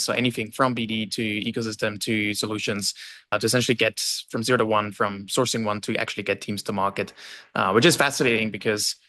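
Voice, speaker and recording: Bright-voiced young man on a video call. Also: fairly low pitch, dry recording. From Austria. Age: young adult. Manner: fluent, many fillers and "right?" tags. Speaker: podcast guest. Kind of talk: casual interview talk. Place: indoor room with plant, video call.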